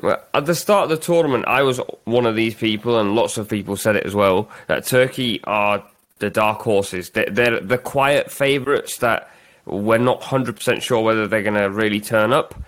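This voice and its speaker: A man's voice: fairly low pitch, moderate pace, a bit bright. A man hosting a football podcast, asking guests questions in an upbeat, emphatic way. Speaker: podcast host. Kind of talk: casual sports chat.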